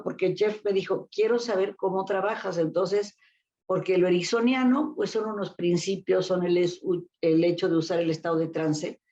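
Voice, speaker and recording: Older Mexican woman, low mellow voice. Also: lo-fi sound. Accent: Mexican Spanish. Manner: rambling recollection with many fillers and restarts. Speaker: guest therapist. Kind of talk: casual interview storytelling.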